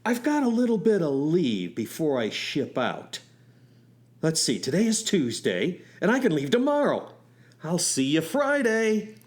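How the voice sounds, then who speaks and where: A man plays an eager, romantic young fellow in a radio story. His voice sits a little high and swings widely in pitch. He tells things with folksy, wry humor. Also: wildly swooping pitch, unhurried. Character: young male driving instructor turned soldier, eager, wry, romantic. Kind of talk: first-person radio storytelling with acted dialogue.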